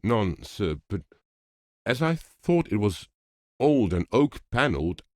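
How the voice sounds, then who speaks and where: Man putting on a timid young ghost, higher voice. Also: big pitch swings, slow, no echo, studio quality. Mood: sheepish. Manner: hesitant, apologetic, deferential. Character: abashed, awkward ghost of a weak young man.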